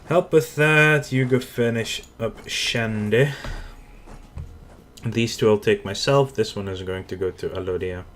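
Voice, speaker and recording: A man's voice: lowish voice, measured pace, a bit bright, slight background noise. A man with a Dutch accent talking casually through his strategy game as he plays, thinking aloud and correcting himself as he goes. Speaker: gaming channel host. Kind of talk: casual let's play commentary.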